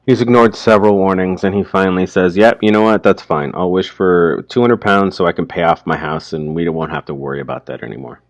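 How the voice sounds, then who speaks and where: A man's voice: sits low, fairly quick, hardly any pauses, no room sound. A man giving casual, informal commentary, explaining things plainly like a teacher.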